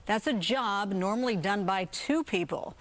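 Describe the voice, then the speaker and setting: A woman's voice: medium pitch, moderate pace. American woman anchoring the news. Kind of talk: scripted newscast delivery.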